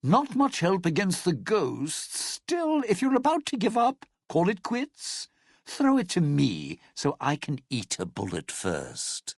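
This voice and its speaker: An actor playing a scheming, bitter old lounge singer in a video game, with a gravelly, raspy voice and a theatrical, sardonic delivery. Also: male voice, high voice, huge pitch swings, slow pace, no echo, clean studio sound, full character voice. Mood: urgent, wary. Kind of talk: video game character acting.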